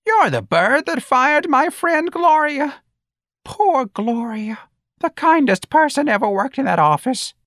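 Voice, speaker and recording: A man voicing a very old, senile, cranky woman with a croaky, piping voice, in an audiobook story. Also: extremely high pitch, very animated, unhurried, a bit bright, dry recording, studio quality, pitched above the performer's own voice, exaggerated voice. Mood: indignant, wistful. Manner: indignant, wild outbursts.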